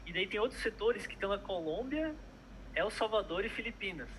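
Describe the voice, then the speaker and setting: Hesitant, bright-voiced young man. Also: high-pitched, animated, a little fast, a little hiss or hum, poor audio. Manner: hesitant, many fillers and restarts. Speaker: product manager guest. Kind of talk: casual interview chat.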